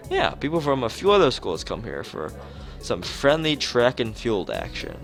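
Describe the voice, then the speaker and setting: Man acting a sporty teenage girl, pitched up, lively swings, pushy, teasing, visual novel character. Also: normal speed, gravelly, faint music behind, full character voice. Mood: eager, confident.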